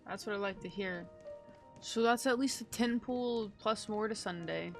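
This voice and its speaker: A woman's voice: mid-range voice, normal speed, creaky. A woman streamer giving casual, playful, slangy reactions to a game. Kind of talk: casual gameplay reaction commentary. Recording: game music behind.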